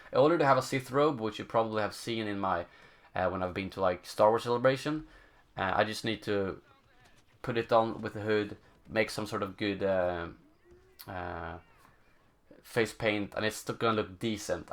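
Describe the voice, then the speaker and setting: Young Swedish-accented male streamer, lowish voice, casual Q&A, stops and restarts while looking for words. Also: fairly bright tone. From Sweden. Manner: halting, searching for words, many fillers. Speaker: gaming channel host. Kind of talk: live stream Q&A, casual chat.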